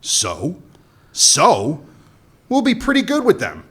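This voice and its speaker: A man's voice: on the high side, wildly swooping pitch, takes it slowly, fairly bright tone, breathy edge, heavily acted. An actor playing a cocky, scheming male smuggler boss with a sardonic, wisecracking delivery in a comic radio play. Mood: wry.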